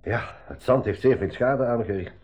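A man's voice: medium pitch, normal speed, darkish tone, dull audio, faint music behind, natural voice. A man with a Flemish accent playing a practical, worried expedition mechanic, sober and cautionary, in a 1980s school radio drama. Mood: explanatory.

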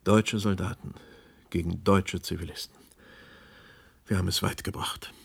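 A defiant, sardonic radio news anchor. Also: male voice, sits low, steady tone, moderate pace, gravelly, breathy edge, heavily acted. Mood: bitter, subdued. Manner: sardonic, argumentative. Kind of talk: German radio play acting.

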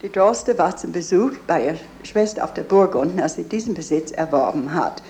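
A woman's voice: lowish voice, brisk, warm tone. A woman giving guided tour commentary, explaining as she goes in slightly unidiomatic phrasing.

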